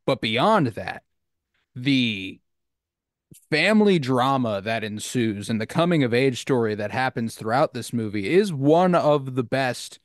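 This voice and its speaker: A man's voice: mid-range voice, lively intonation, a little slow, no echo, studio quality. A man co-hosting a podcast, talking enthusiastically about a film he loves and swearing casually. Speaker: podcast co-host.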